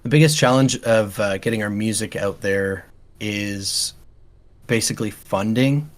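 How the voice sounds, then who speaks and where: A man chatting casually as an interview guest, quiet and calm in his delivery. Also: lowish voice, measured pace. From Canada. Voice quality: quiet speaking voice. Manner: calm, easygoing. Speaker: metal band vocalist, podcast guest.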